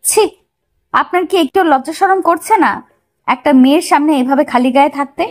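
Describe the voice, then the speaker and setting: Audio-story bride , acted by a woman: young, shy but feisty, high voice. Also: normal speed, natural voice. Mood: indignant. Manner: bashful, protesting.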